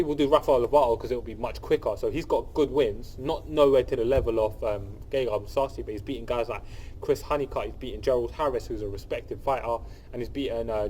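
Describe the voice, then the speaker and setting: A man's voice: mid-range voice, darkish tone, a little hiss or hum, rough recording. A young man with a cold, hosting his channel and breaking down an upcoming fight in casual, enthusiastic commentary. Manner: enthusiastic, repeats phrases, many fillers and restarts. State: sniffly from a cold. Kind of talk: casual solo commentary to camera.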